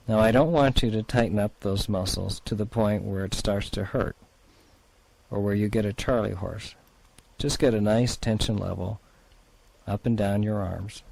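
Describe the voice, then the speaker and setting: A man leading a guided relaxation exercise as a therapist, in a soothing, unhurried, gently instructing manner. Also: lowish voice, low-quality recording.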